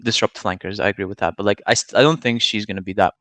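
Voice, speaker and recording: A man's voice: lowish voice, fairly even intonation, rapid-fire, a bit bright, dry recording. A nineteen-year-old man from Serbia, chatting casually as an interviewed gamer, slangy and opinionated as he explains at length. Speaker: gamer and streamer being interviewed.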